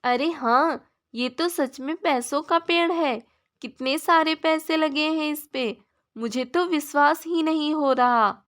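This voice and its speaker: A gentle, sensible mother from a cartoon moral tale, voiced by a woman narrator. Her voice sits a little high, and her manner is practical and kind. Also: slow. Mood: amazed.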